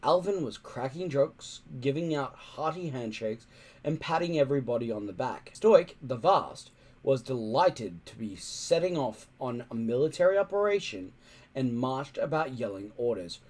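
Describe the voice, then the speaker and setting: A man reading a children's adventure story aloud in expressive narration. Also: medium pitch, normal speed. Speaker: reader.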